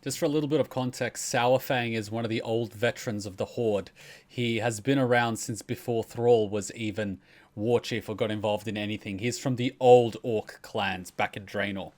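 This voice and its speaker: A man's voice: lowish voice, fairly even intonation, normal speed, darkish tone. A man hosting a reaction video, explaining game lore in casual commentary.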